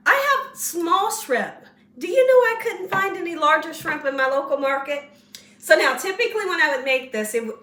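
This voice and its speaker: A woman hosting a casual home cooking tutorial, slightly hoarse as she loses her voice, chatty and encouraging toward viewers. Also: high voice, bright, forceful tone, reverberant, far from the mic. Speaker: cooking show host. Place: home kitchen.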